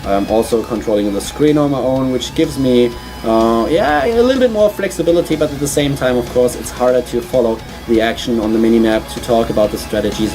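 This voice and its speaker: A man's voice: mid-range voice, rough recording. German man casting esports solo over music. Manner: chatty, many filler words and restarts. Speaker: esports caster. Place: small studio.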